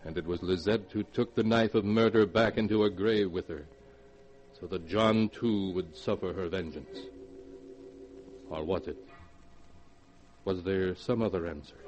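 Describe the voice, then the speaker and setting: An actor narrating a 1940s radio horror series as the keeper of a mysterious book, speaking with dramatic foreboding. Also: male voice, sits low, a little mellow, highs cut off.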